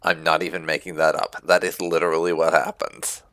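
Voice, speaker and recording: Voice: a bass voice, little pitch movement, fairly quick, fairly bright tone, dry recording. A video game streamer giving casual, rambling let's-play commentary with wry asides.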